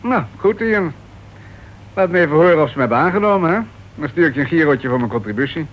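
A man's voice: on the high side, lively intonation, fast. An actor playing an irritable, self-important male writer in a radio play, with an impatient, grumbling manner. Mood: affable, self-satisfied. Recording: dull, noisy old radio play recording.

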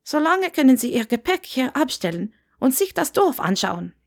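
A woman plays an old village innkeeper, a stooped little man in an audiobook tale. He is curt and grudging, hoarse, and his lines are pitched raised above plain narration. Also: big pitch swings, normal speed, heavily acted. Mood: gruff, brisk. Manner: curt, terse.

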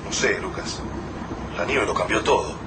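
Radio drama casting: wary, hesitant Argentine man, colloquial, slightly low voice, very noisy surroundings. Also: expressive, moderate pace. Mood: doubtful. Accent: Rioplatense Argentine Spanish. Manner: cautious, colloquial. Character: Juan, wary hesitant man surviving a deadly snowfall. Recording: very noisy background.

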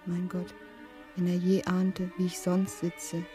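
An actress playing an impressionable, wondering young woman in a German radio play, with a hesitant, breathy delivery. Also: on the low side, monotone, slow pace, dark tone, faint music behind. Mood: anxious, inward.

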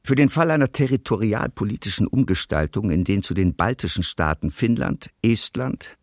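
A formal, detached official played by a man reads out a document in a documentary radio play. The voice is low with a creaky grain, recorded very dry. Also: no echo, close to an everyday voice. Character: formal male official reading a secret treaty protocol.

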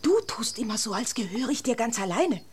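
An actress playing a vain, teasing young princess who chatters in a haughty, theatrical way in a radio drama. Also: female voice, fairly high pitch, sing-song, brisk, slight background noise. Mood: defensive chattering.

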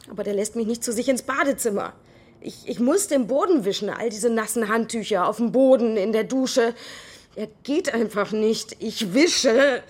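A worn-out, bitter mother in a radio drama monologue. Her voice is rough and husky, fairly low for a woman. She confesses in short, halting, aggrieved phrases. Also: fairly bright tone. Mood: agitated, aggrieved. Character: drained, bitter mother of a troubled teenage son.

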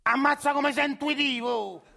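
Gravelly old Roman grandpa. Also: male voice, very high voice, moderate pace, voice pushed up, full character voice. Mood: cranky. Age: 90s. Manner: cursing, shouting rants. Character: cranky foul-mouthed ninety-year-old grandfather. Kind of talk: radio theatre acting.

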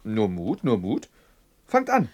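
An actor playing a cheerful, teasing young husband hosting a party in a radio play, playful and mock-formal. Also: male voice, fairly low pitch, wildly swooping pitch, slow, darkish tone, exaggerated voice. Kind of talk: 1960s German radio play acting.

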